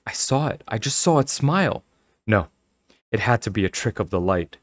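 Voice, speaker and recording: A man's voice: fairly low pitch, lively intonation, normal speed, dry recording, clean studio sound. A man voicing a reluctant, self-conscious schoolgirl in a storybook, speaking in a hesitant, awkward way. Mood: uneasy.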